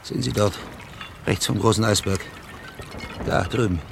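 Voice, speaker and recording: An actor playing a steady, seasoned naval lieutenant in a German radio drama, deferential yet insistent in an understated way. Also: male voice, lowish voice, normal speed, vocal fry, soft background music. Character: steady, seasoned male ship's lieutenant of northern seas.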